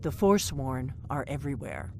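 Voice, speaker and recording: An actress voicing a stern, businesslike veteran female agent in a video game, brisk and no-nonsense. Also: very deep voice, music in the background. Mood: decisive.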